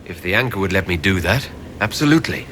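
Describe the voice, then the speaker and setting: An actor playing a determined fantasy leader in a video game, plain, earnest and direct. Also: male voice, sits low, expressive, fast, fairly bright tone, slight background noise. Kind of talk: video game dialogue acting.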